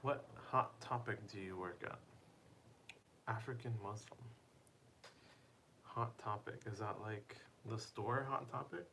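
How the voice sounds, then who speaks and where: A man's voice: on the low side, takes it slowly, creaky, pauses often, pushed and bright, slight background noise. A young man casually chatting on a live stream, playfully teasing and asking viewers questions.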